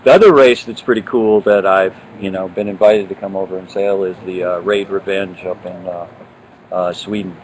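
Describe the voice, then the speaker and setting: A man's voice: sits low, fairly even intonation, moderate pace, slight background noise, low-quality recording. A man hosting a casual chat outdoors, easygoing and laughing, with many fillers. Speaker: host. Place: outdoors, windy.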